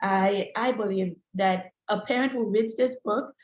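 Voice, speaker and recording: A woman who grew up speaking French introduces herself informally on a video call. She is earnest and a little hesitant, with a medium-pitched voice. The sound is roomy and somewhat dull. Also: a little slow, darkish tone. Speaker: meeting guest, children's book author. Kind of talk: informal self-introduction and pitch at an online meeting.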